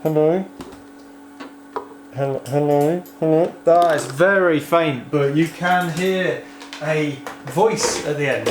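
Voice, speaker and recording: A British man giving casual, excitable hands-on commentary as he tinkers with gadgets, thinking aloud informally. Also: fairly high pitch, slow pace. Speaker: host of a vintage technology museum channel.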